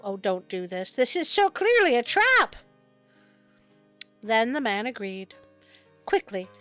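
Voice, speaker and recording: A woman with a fairly high voice reads a storybook tale as the host of a show. She is playful and breaks away from the text for wry commentary. Soft music runs behind her. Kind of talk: storybook reading with joking asides. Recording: music bed under the voice.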